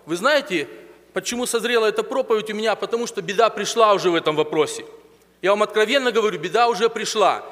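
A man's voice: sits high, fairly bright tone. A man preaching a sermon in a conversational way, with hesitations and questions to the congregation, in a church hall. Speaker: pastor. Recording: long reverb of a church hall.

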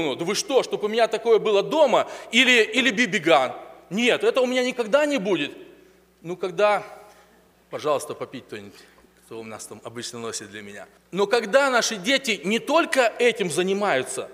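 A man's voice: high voice, a bit bright. A man preaching a sermon in a conversational way, with hesitations and questions to the congregation, in a church hall. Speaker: pastor. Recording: long reverb of a church hall.

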